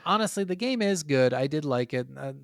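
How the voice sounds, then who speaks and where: Opinionated young man, higher-pitched voice. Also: lively intonation, fairly quick, dry recording, studio quality. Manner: opinionated, profanity-laced. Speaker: podcast co-host. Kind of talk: casual gaming podcast chat.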